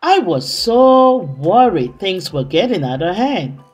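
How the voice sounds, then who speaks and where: A jolly, commanding old Santa, as a woman storyteller plays him, talks very slowly with booming, measured phrases. The storybook delivery is expressive, with music behind. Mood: warm, relieved.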